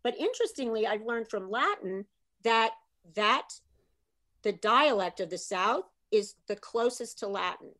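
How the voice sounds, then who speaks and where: A middle-aged woman, an author, talking in a lively, chatty way and slipping into bits of Italian. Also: on the high side, a little slow. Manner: animated, chatty, slips into Italian phrases. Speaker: author guest. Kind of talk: casual video chat.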